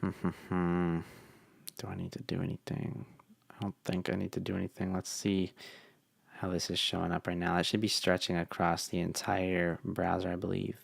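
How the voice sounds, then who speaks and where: A young man talking through a casual coding tutorial, relaxed and thinking aloud with fillers, his voice tired from long meetings. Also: sits low, normal speed, gravelly, husky, no room sound. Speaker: web developer.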